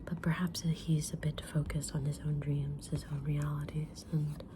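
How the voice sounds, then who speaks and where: A woman playing a kind, mysterious tarot-reading witch in a gentle, breathy whisper for an ASMR roleplay. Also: low voice, flat delivery, background noise, close to an everyday voice. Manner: gentle, deliberate, soft-spoken, drawn-out pauses.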